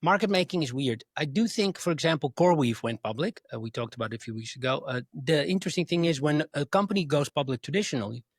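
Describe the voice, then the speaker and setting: A man with a fairly high voice, co-hosting a casual tech and crypto podcast. He thinks aloud, hesitating and restarting as he talks. The sound is dry and close, with no room around it. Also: animated, quick pace, few pauses, slightly rough, dry recording. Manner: thoughtful, hesitant, with fillers and restarts. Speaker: podcast co-host. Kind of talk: casual chat.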